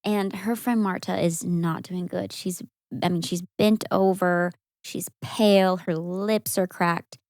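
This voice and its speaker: A young woman co-hosting a podcast, telling a story in a casual, animated way with frequent laughs. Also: lowish voice, slow pace, no room sound, clean studio sound. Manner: animated, laughs often, acts out quoted voices.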